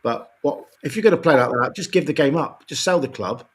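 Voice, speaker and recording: A tired man with a croaky voice, co-hosting a casual football chat and ranting with exasperation. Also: medium pitch, animated, fairly quick, a bit bright. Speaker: podcast co-host.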